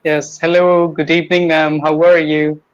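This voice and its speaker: A man's voice: fairly high pitch, little pitch movement, normal speed, clear, resonant tone, dull audio. A young man from Kanpur with an Indian English accent, chatting politely and a bit formally about learning English. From Kanpur, Uttar Pradesh, India. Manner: polite, slightly formal phrasing. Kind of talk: casual online conversation.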